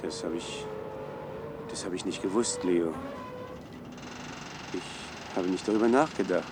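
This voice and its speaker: An actor playing a naive, idealistic scientist in a German science-fiction radio play, questioning and earnest, with an unsettled manner. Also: male voice, deep voice, a little slow, noisy background. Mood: dismayed. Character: naive, idealistic male scientist, troubled and well-meaning.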